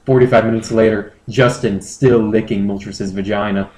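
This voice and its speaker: A man's voice: lowish voice, steady tone, normal speed, a little mellow. A man narrates a comic story on an amateur podcast. He reads it mock-seriously, with audible amusement. The recording is roomy and echoing. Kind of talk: comedic dramatic story reading.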